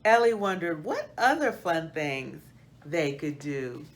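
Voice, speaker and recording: Slow-paced woman reading to children. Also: deep voice, big pitch swings. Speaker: host of a children's book read-aloud. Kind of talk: expressive children's storybook reading. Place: small plain indoor room.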